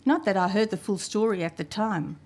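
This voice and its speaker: A South African woman in her fifties, Afrikaans her first language, delivers a prepared lecture from a podium. She reads in a measured, formal way and leans on key phrases for emphasis. Her voice comes through a podium microphone in a wood-panelled hall. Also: medium pitch, darkish tone. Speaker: archivist giving a talk.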